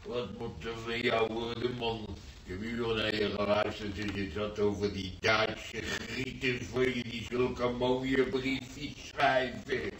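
An actor playing an old, easily agitated grandfather in a radio play, rambling and repeating himself haltingly. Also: mid-range voice, flat delivery, natural voice. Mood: agitated, weary. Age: older. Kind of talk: radio drama acting. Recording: roomy, distant, faintly noisy old radio recording.

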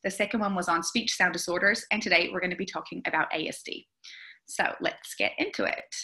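A woman's voice: on the low side, brisk, pushed and bright, low-quality recording. A young New woman, a speech therapist, giving a chatty webinar presentation over a video call. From New Zealand. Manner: chatty, explanatory, with frequent fillers. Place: indoor room with plants behind. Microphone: video call webcam.

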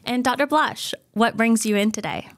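A woman's voice: on the high side, moderate pace, no room sound, studio quality. A middle-aged woman co-hosting a podcast in a conversational interviewing style. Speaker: podcast co-host. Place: small indoor podcast studio. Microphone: boom-arm podcast microphone.

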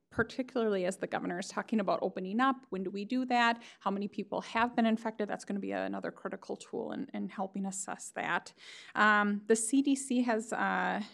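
Woman health official briefing a board remotely; slight fry, halting and filler-heavy. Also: medium pitch. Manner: hesitant, frequent fillers. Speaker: county public health official.

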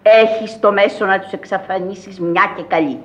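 A woman's voice: mid-range voice, natural voice. An eccentric old countess played by a woman commands the scene in solemn, theatrical radio-play style. She is grand and imperious, and the old recording sounds dull and lo-fi. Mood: stern, measured.

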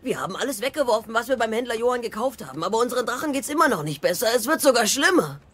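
An actor, a man, playing a soft-hearted, anxious young Viking boy in an animated audio drama, doting and fretful. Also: very high-pitched, rapid-fire, exaggerated voice. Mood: panicky.

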